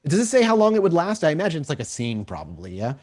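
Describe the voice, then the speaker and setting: A man's voice: a little high, wildly swooping pitch, very fast, a little mellow. Man narrating as a tabletop game master. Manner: descriptive, conversational, checks in with players. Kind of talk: improvised narration of a tabletop role-playing game.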